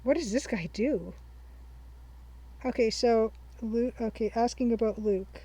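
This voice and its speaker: A woman's voice: on the high side, warm tone, husky. Chatty woman thinking aloud on stream. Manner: thinks aloud, reads game lines back slowly while taking notes. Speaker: livestreaming artist and game developer. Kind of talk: casual livestream commentary.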